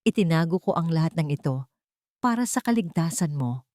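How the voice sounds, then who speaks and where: A woman acts out a rich older father in an audio love drama. He is protective and full of regret, speaking earnestly and gently yet with resolve. The voice sits on the low side for a woman and is very dry. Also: expressive, brisk, no room sound. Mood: regretful. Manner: earnest, soft-spoken.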